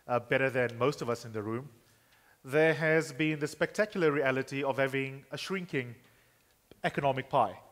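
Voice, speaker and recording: A man moderating a conference panel, poised and framing his questions at length. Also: medium pitch. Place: conference hall. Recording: reverberant conference hall.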